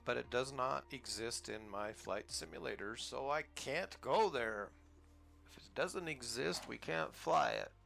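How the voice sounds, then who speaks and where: A man's voice: mid-range voice, measured pace, creaky, fairly bright tone, soft background music. An American man casually commenting on his flight simulator gameplay in a rambling, easygoing way, chuckling at himself now and then. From United States. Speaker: flight sim gaming channel host.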